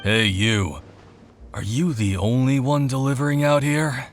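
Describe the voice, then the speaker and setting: A man's voice: mid-range voice, fairly even intonation, unhurried, faint music behind, close to an everyday voice. A man playing a menacing bandit boss. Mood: suspicious. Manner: curt, suspicious, threatening. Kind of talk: video game voice acting.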